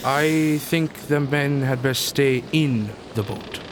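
A man playing a grim, subdued ship's officer in a pirate radio drama, measured and formal. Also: medium pitch, background noise, natural voice. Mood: guarded.

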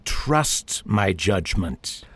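A man plays a composed criminal schemer in a radio drama. His voice is low and slightly rough, recorded dry, and he lays out his plans in measured words. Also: sing-song, a bit bright, dry recording, exaggerated voice. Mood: calculating, firm. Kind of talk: science fiction radio drama acting.

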